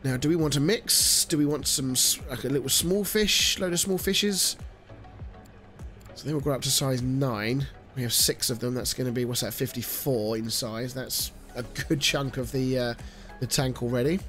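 A man's voice: medium pitch, moderate pace, a bit bright, faint music behind. A man in his thirties with a nasal, stuffy voice from a cold, rambling chattily through a let's-play with plenty of fillers. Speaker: gaming channel host. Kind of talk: casual let's-play commentary.

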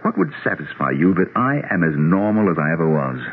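Intense grieving doctor in old radio drama, gravelly, tinny noisy broadcast. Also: male voice, sits low, very animated, a little fast. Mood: earnest, insistent. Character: earnest, grieving psychologist obsessed with proving afterlife. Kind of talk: 1970s radio drama acting.

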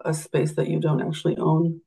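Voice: medium pitch, completely flat delivery, fairly quick, vocal fry, warm tone. A department staff member giving matter-of-fact answers on a video call.

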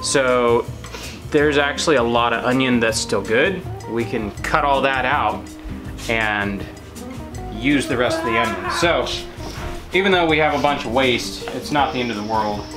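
A man in his thirties talks in an easygoing, joking way as he cooks in a home kitchen and explains each step to his viewers. His voice sits at a middle pitch for a man. Music plays clearly underneath his voice. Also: a little slow. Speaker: homestead vlog co-host. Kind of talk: casual chat while cooking.

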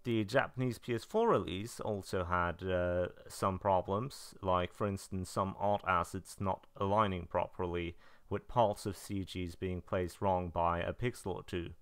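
A man narrating a semi-scripted review in a matter-of-fact way, with dry, deadpan asides. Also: deep voice, unhurried, clean tone, no echo. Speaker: video narrator reviewing game releases.

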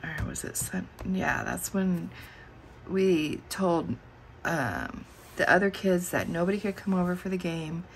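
A middle-aged woman losing her voice, chatting slowly and musing aloud as she flips through her planner at a desk. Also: on the low side, creaky, a little hiss or hum, low-quality recording. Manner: slow and musing, thinking aloud. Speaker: planner channel host. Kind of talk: casual plan-with-me chat. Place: indoors at a desk.

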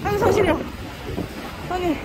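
A woman's voice: extremely high pitch, little pitch movement, slow. A woman chatting casually and exclaiming as she commentates a fishing vlog outdoors by the sea. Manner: exclamatory, often admiring the catch. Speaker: fishing vlogger. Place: outdoors on a windy seaside breakwater. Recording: noisy outdoor seaside recording.

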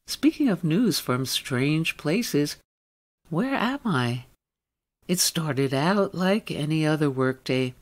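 A woman hosting a radio show, reading a scripted introduction to the next segment. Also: sits low.

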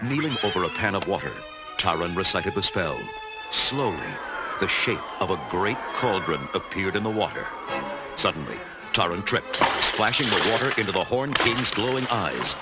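A man's voice: low-pitched, expressive, normal speed, loud music behind the voice. A man reading dramatic scripted narration for a children's storybook.

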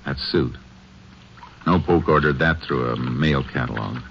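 A man's voice: extremely low pitch, a little slow, vocal fry, heavy background noise, full character voice. An actor playing a grave, determined Texas Ranger who narrates his case in the manner of a 1950s radio western. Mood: probing. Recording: muffled old radio recording with music.

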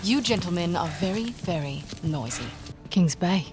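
An actress playing a cool, commanding rival in cinematic video game style. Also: female voice, fairly low pitch, animated, moderate pace, close to an everyday voice. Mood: cool, taunting. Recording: game audio with music underneath.

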